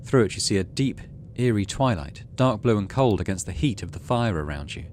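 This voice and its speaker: A man with a fairly low voice hosts a video game let's play. He talks himself through the game and reads its text aloud. There is clear noise behind his voice. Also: animated, fairly quick, a little mellow. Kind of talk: gameplay commentary and reading game text aloud.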